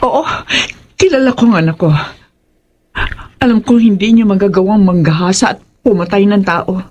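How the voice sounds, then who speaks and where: A woman's voice: mid-range voice, moderate pace, a little mellow, muffled recording, natural voice. An actress playing a troubled, fearful mother in a horror audio drama, earnest and emotional. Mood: anguished, resigned. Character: anguished, fearful mother of a fugitive son.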